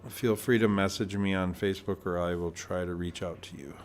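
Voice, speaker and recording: Soft-spoken chatty male co-commentator. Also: low-pitched, fairly even intonation, fairly quick, a little hiss or hum. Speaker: club owner and co-commentator. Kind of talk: live pool match commentary.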